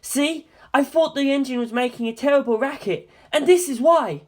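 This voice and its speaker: Reader playing an excitable, loud young male pilot, high-pitched. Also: normal speed, lightly acted. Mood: shouting, agitated. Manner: loud, agitated, blurting. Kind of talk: acted dialogue in an audiobook.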